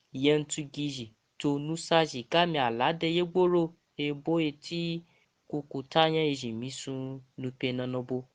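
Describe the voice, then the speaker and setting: A man in his thirties from Nigeria, a lecturer giving a prepared statement. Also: on the high side. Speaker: university lecturer.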